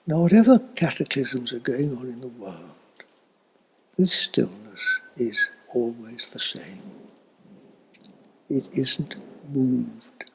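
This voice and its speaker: An elderly man giving a slow, reflective spiritual talk in a husky, breathy voice that often fades toward a whisper, with long thoughtful pauses. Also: mid-range voice, lively intonation, a little hiss or hum, poor audio. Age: older, elderly. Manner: contemplative, halting with long pauses. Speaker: spiritual teacher.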